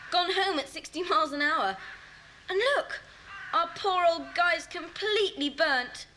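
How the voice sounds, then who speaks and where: A woman playing a lively, talkative schoolchild in a children's audio drama. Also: very high-pitched, measured pace, heavily acted, rough recording. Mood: rueful. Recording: faint hiss, cassette audio drama.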